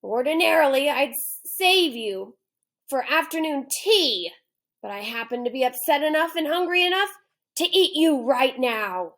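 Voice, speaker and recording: A woman puts on a vain, blustering young lion from a children's storybook. The voice is raised high and loud, exclaiming in a bullying, hot-tempered way at a slow pace. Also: lively intonation, exaggerated voice. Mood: menacing. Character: angry, vain young male lion, mother-fearing bully. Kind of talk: children's storybook character reading.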